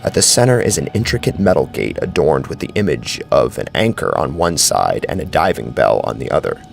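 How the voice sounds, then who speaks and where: A man's voice: rumbling low, sing-song, a little fast, creaky. A man narrating a scripted horror story as a brooding, dramatic storyteller. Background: faint music.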